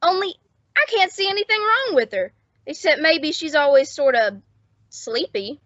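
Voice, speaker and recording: A woman's voice: sits high, very animated, moderate pace, a bit bright, dry recording. A woman playing an eager, awkward, smitten young bellboy with a flustered, hesitant manner in old-time radio comedy style. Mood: eager, confiding. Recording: dull lo-fi close dry recording.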